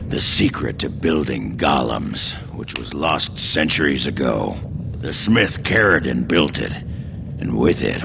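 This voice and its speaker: This is a gruff dwarf warrior from a fantasy video game. The voice sits extremely low and crackles with gravel. He takes his time, crude and blunt, a seasoned drinker who minces no words. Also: full character voice. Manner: blunt, coarse, slow-spoken. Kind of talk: video game character acting.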